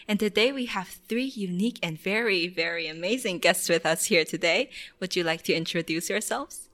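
A woman's voice: on the low side, normal speed, a bit bright. Upbeat woman podcast host. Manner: upbeat, asks questions. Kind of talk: hosting a casual panel discussion.

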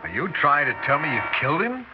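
A man's voice: fairly high pitch, very animated, fast, music in the background. An actor with an American accent playing a blunt, incredulous male theatre producer in a 1960s radio drama. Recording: muffled 1960s radio recording.

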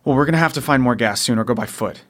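A middle-aged man acting a gruff, protective, weary father in a post-apocalyptic audio drama. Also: mid-range voice, rapid-fire, a bit bright, lightly acted. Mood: weary, frustrated.

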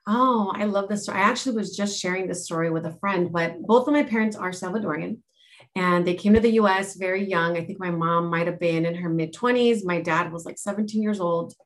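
A woman's voice: lowish voice, brisk. A woman from Los Angeles, a life and health mentor, reflectively telling her own story in a podcast interview. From Los Angeles, United States.

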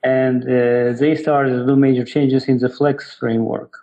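A middle-aged man with an Eastern European accent and a gravelly, creaky voice answers as an interview guest. He explains things in a halting, reflective way. The sound is a little dull and lo-fi. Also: medium pitch, little pitch movement, mellow. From Ukraine. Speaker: guest, software developer.

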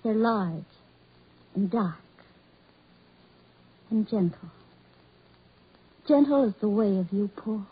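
Slow, breathy, earnest woman, old radio. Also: medium pitch, expressive, heavily acted. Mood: adoring, earnest. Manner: tender, earnest, slow theatrical delivery. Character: gentle, adoring, trusting blind young woman. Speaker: radio actress. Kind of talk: 1940s radio drama acting. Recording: muffled 1940s radio recording.

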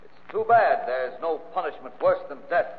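An actor playing a pompous movie star declaiming as a noble hero, in 1940s radio drama style. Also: male voice, on the high side, expressive, normal speed, close to an everyday voice. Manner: pompous, oratorical. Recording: muffled, reverberant old radio recording.

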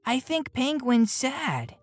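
A woman's voice: high-pitched, big pitch swings, slow pace, no room sound, soft background music, pitched above the performer's own voice, full character voice. A woman voicing a caring, earnest young boy in a children's storybook. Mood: concerned.

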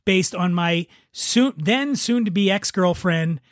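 A man's voice: sits high, expressive, slow pace, no echo, clean studio sound. A man hosting a podcast, talking casually and narrating a script. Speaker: podcast host and writer.

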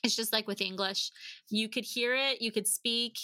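A middle-aged woman giving advice as a podcast guest in a casual interview, chatty and animated and given to laughing. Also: on the high side, moderate pace. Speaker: podcast guest, language-learning company founder.

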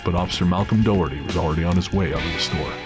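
A man's voice: sits low, very fast, over music. A man reading a dramatic scripted story as the narrator of an audio drama. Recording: clear background noise and ambience.

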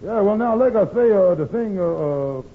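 An actor playing a glib, eager-to-please small-time hustler with folksy grammar in a 1940s radio comedy, on a tinny recording. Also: male voice, high voice, expressive, normal speed, background noise. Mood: ingratiating.

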